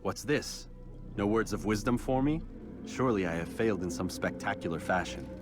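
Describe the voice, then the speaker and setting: Guy voicing cool proud assassin from a crusades game, low voice, terse and controlled, music in the back. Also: lively intonation, moderate pace, natural voice. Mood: dry, impatient. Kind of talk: video game dramatic acting. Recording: music behind.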